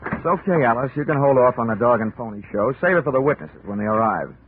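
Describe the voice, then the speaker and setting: A man's voice: mid-range voice, lively intonation, fast, very noisy, close to an everyday voice. A man playing a cynical, wisecracking hard-boiled investigator in 1940s radio drama style, with slangy, clipped delivery. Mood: sardonic, needling. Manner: sardonic, slangy, clipped tough-guy delivery. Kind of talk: 1940s radio detective drama acting and narration. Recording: tinny old-time radio recording with music.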